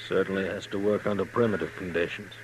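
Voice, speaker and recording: On a faintly hissy vintage radio western, a man plays an officious visiting lawman. His voice is fairly low and his delivery is formal, brisk and sure of itself. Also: steady tone, natural voice. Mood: dry, formal. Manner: formal, crisp, self-assured. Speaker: radio drama actor. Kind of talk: 1950s radio western acting. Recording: hissy 1950s radio broadcast recording.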